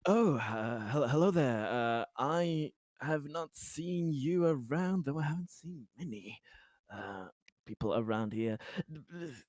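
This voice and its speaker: A man acting out a chatty, eager colonist in a tabletop roleplay. He speaks slowly and haltingly, stammering through polite, slightly boastful small talk, very close and dry. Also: mid-range voice, expressive, darkish tone, no echo, lightly acted. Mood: friendly, hesitant.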